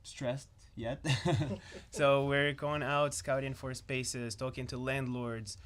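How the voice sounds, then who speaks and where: Man, mid pitch, friendly, laughs often, searches for words. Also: level tone, slow pace, fairly bright tone. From Morocco. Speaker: nightlife event organizer. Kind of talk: casual radio chat.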